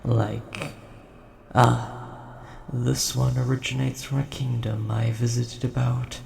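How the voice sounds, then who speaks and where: Man voicing a slow, gravelly, scholarly old dragon. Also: medium pitch, level tone, darkish tone. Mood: fond, wistful. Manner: formal, rambling, thoughtful storyteller. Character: large ancient nerdy dragon, gentle, scholarly, coin collector. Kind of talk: scripted audio roleplay addressed to the listener. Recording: roomy echo, faint background noise.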